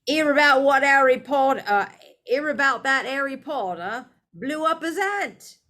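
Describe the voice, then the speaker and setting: A woman voicing an excitable, gossipy young male bus conductor who chatters loudly and dramatically at a slow, deliberate pace. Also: sits high, voice pushed up, exaggerated voice. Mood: gleeful.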